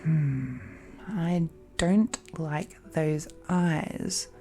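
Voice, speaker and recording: Woman acting a languid, mellow, possessive villainess. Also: sits low, drawn-out words, creaky, faint music behind, exaggerated voice. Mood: cold, bitter. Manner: languid, coaxing, condescending, punctuated with hums and sighs. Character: possessive, seductive ancient supernatural villainess, smugly patient captor. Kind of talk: romantic villain audio roleplay, intimate monologue.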